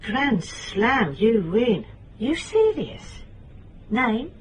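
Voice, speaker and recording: An actress playing a middle-aged British private detective, blunt and dryly wry, in radio drama dialogue and noir narration. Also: female voice, fairly high pitch, very animated, extremely slow, a little hiss or hum, full character voice. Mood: brisk, reassuring.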